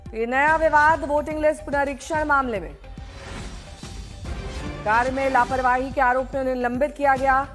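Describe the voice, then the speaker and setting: A woman's voice: high voice, fairly even intonation, moderate pace, pauses often, a bit bright. A woman presenting television news as the anchor. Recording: music bed behind broadcast voice.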